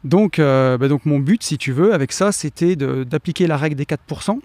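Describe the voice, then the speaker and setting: A man in his late thirties, a guest in a casual interview, talking about his own investing in a relaxed way with fillers. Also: fairly high pitch, fairly quick, no room sound. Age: 30s.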